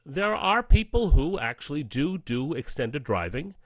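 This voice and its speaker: A man's voice: on the high side, very animated, unhurried, dry recording. A man talking casually as a guest in an interview. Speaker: panel guest.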